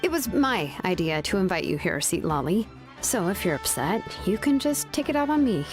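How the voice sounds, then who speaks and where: A woman's voice: mid-range voice, very animated, moderate pace, faint music behind, close to an everyday voice. An actress playing a friendly, earnest young woman who keeps the peace in a video game.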